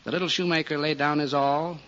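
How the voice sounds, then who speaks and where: A gentle storyteller, played by a male actor, with a voice a little higher than most men's. He narrates in the measured, literary style of 1940s radio drama. Music plays behind him on a muffled old recording. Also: steady tone, natural voice. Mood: gentle narration.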